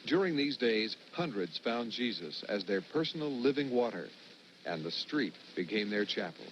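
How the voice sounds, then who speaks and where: A man narrating a documentary in a measured, dramatic scripted delivery, heard through old film audio. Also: fairly low pitch, sing-song, muffled recording, a little hiss or hum.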